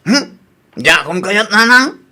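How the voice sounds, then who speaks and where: Actor as a high, bright young suitor. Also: male voice, big pitch swings, unhurried, exaggerated voice. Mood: sulky. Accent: Filipino. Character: poor, cheap, laid-back young suitor, somewhat sheepish. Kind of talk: Filipino radio comedy-drama acting.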